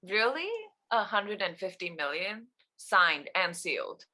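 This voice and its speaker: Bright, low-voiced wry congressional aide played by a woman. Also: lively intonation, a little slow, heavily acted. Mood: incredulous. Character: wry, unflappable congressional assistant woman on a mission.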